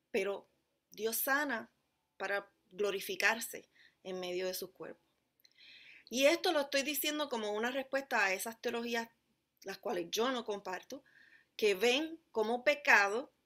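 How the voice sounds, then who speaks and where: A woman from Puerto Rico with a Puerto Rican Spanish accent, delivering a sermon as pastor in a measured, emphatic way, slowing down on key phrases. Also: mid-range voice, bright, forceful tone. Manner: measured, emphatic preaching with deliberate slowed phrases.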